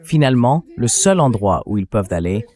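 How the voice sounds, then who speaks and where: A man's voice: medium pitch, very animated, fairly quick, a little mellow. A man giving opinionated political commentary as an interview guest, emphatic and fond of rhetorical questions, in a synthetic translated dub.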